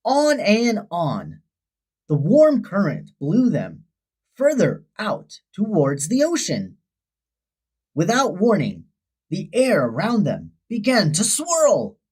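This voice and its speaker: Very animated woman reading a children's story. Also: mid-range voice, slow pace, husky. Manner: dramatic, expressive storytelling. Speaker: storybook narrator. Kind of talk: scripted children's story read-aloud.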